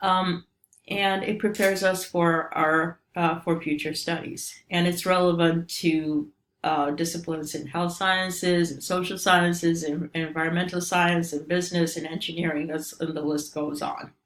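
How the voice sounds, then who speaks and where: Middle-aged romanian-accented lady, a professor talking through her course intro, lots of ums, lowish voice. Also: level tone, measured pace. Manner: informal, many ums and uhs, explanatory. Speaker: statistics professor. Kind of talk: recorded course introduction lecture.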